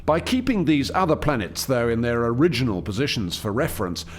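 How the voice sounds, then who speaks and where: Radio play lead: male actor as proud alien project scientist, formal and articulate, very lively pitch movement, mid-range voice. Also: fairly quick, a little hiss or hum, close to an everyday voice. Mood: explanatory. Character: male alien chief scientist, eager, proud, explaining his experiment. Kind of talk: radio play dialogue, expository.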